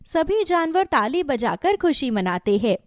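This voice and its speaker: A woman's voice: high voice, very animated, measured pace, no room sound, studio quality. A woman narrating a scripted children's story.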